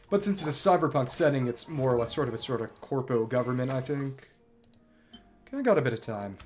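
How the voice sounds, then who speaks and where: A man's voice: mid-range voice, darkish tone. A man livestreaming a video game, giving casual, bookish commentary that wanders into long tangents. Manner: bookish, discursive, digressive.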